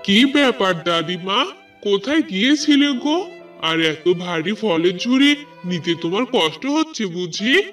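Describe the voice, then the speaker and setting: A man's voice: high voice, animated, unhurried, a bit bright. A man voicing an emphatic village man in a cartoon. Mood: concerned. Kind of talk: Bengali cartoon voice acting. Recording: distant mic, faint music.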